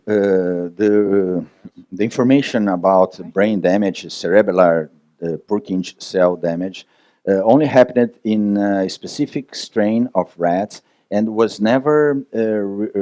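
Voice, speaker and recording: A man's voice: lowish voice, slow pace, dark tone, no echo, clean studio sound. A man with a Brazilian Portuguese accent, speaking English as a second language, answers audience questions at a conference, hesitating often with fillers and restarts.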